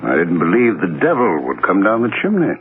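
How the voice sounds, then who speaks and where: An actor playing a dry, knowing club gentleman in a 1970s radio drama, gravelly and drolly understated. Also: deep voice, huge pitch swings, fairly quick, phone-quality sound. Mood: droll. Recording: thin, band-limited vintage radio.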